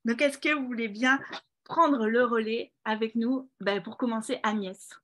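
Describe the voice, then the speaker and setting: A woman's voice: on the high side, fairly even intonation, normal speed. Encouraging woman hosting an online ceremony. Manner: warm, encouraging, with fillers. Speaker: ceremony host. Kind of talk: presenting a prize ceremony. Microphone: video call.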